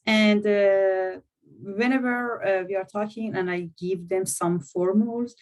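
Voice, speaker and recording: A woman lecturer with non-native English, talking at an unhurried, deliberate pace as she walks through a course plan. She speaks at a middling pitch, pausing often and hunting for the next word. Her voice comes through a video-call microphone. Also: fairly even intonation, a little mellow. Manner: halting, searching for words, frequent hesitations. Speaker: university course instructor. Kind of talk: presenting a course overview in an online meeting.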